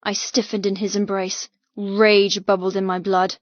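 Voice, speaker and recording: A woman's voice: medium pitch, flat delivery, measured pace, no room sound, lo-fi sound. A woman narrating an audiobook novel in the first person, with an intense, emotive, dramatic delivery.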